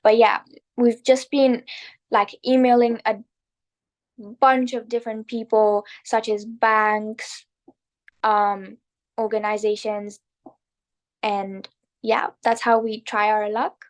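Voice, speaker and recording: Young woman, kenyan accent, a bit high-pitched, earnest, restarts often, interview guest. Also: level tone, slow pace, pauses often. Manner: earnest and self-deprecating, with restarts. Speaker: guest, nonprofit founder. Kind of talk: casual interview talk.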